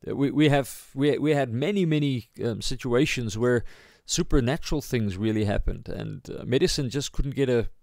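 A man with a South African accent giving an informal sermon as a pastor, halting as he searches for words. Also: medium pitch, creaky, darkish tone, no echo, studio quality. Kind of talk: informal sermon, Bible teaching.

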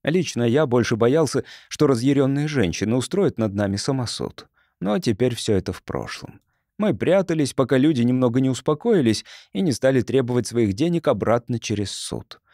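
Lively male audiobook narrator, dry sound. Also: mid-range voice, normal speed, no echo. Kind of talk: expressive scripted fiction narration.

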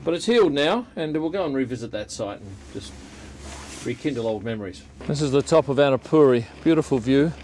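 A man's voice: medium pitch, animated, a little fast, a little mellow, a little hiss or hum. An Australian man in his sixties giving chatty travel vlog narration. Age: 60s. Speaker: travel vlogger.

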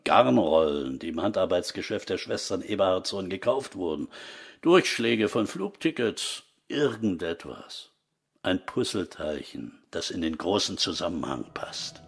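Gravelly, deep senior investigator. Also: male voice, expressive, unhurried, full character voice. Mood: matter-of-fact. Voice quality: gravelly, husky. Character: dry, gruff senior male police investigator. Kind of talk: German crime radio drama dialogue.

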